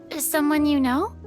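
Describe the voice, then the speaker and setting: A woman playing a youthful, slightly hesitant female adventurer in a video game, with a casual, questioning delivery. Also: high voice. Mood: curious.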